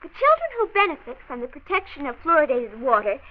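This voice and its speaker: A woman's voice: high-pitched, wildly swooping pitch, quick pace, phone-quality sound. A woman playing a precocious twelve-year-old granddaughter in a 1940s radio drama, pert and argumentative, reciting facts formally like a debater. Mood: confident, reciting. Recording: band-limited old 1940s radio recording.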